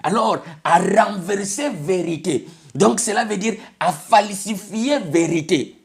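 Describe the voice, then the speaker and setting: A man's voice: high-pitched, takes it slowly. Congolese preacher man, emphatic sermon. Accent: Congolese French. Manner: emphatic, repeats "bien aimé le Seigneur", switches between French and Lingala.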